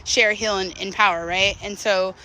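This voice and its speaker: A woman's voice: medium pitch, fairly even intonation, normal speed, pushed and bright, slight background noise, poor audio. A woman in her thirties talking in a candid, rambling, slangy way, as in a casual confessional walking vlog. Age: 30s. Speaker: vlogger.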